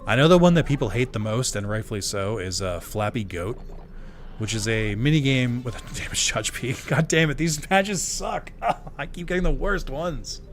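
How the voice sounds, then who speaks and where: A young man streaming a game live, chatting loosely with many fillers while his voice starts to go hoarse. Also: mid-range voice, lively intonation, normal speed, a little hiss or hum. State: voice going hoarse. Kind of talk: casual live-stream chat while gaming.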